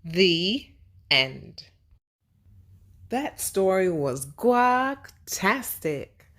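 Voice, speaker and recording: Slow, animated woman reading to children. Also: medium pitch. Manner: expressive, addressing children directly with questions and praise. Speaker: children's read-aloud host and teacher. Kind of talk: storybook read-aloud and simple lesson for young children.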